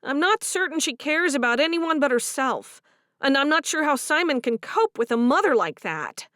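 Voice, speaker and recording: A fretful young woman on the edge of tears, as a woman reads her, her voice pitched up high and lifted louder than the narration. She pleads and complains softly through a storybook scene, recorded close and dry. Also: big pitch swings, moderate pace, no room sound. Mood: upset. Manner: soft, pleading, tearful.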